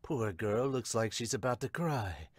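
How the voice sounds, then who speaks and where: A man's voice: medium pitch, expressive, unhurried, breathy edge, dry recording, voice pushed down. A man voicing a smug, impatient villain boss from a manga, with a mocking, condescending manner.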